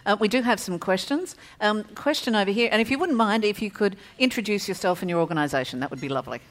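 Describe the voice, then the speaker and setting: A woman's voice: mid-range voice, lively intonation, fast. A woman moderating a panel in an upbeat, polite way.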